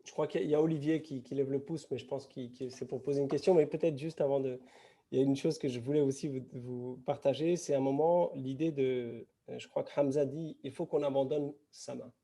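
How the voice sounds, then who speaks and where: A man speaking in a film discussion after a screening, his voice gravelly and very dark. He talks in a reflective, halting way and often restarts his sentences. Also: mid-range voice, steady tone. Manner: halting, many restarts and fillers. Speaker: festival panelist.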